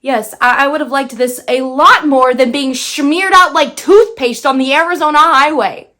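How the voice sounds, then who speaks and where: A woman's voice: high voice, moderate pace, sharp tone. A young woman performing a bitter, brash dead girl in a theatrical monologue, sardonic and emphatic. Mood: bitter, mocking. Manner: sardonic, emphatic, swinging into outbursts.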